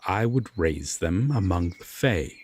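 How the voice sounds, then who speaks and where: A man playing a solemn, regretful Fae king with hidden tenderness, speaking formally and with measured pauses in an audio roleplay drama. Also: sits low, expressive, darkish tone, husky, dry recording, exaggerated voice. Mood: solemn, grave. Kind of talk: slow audio roleplay drama addressed to the listener.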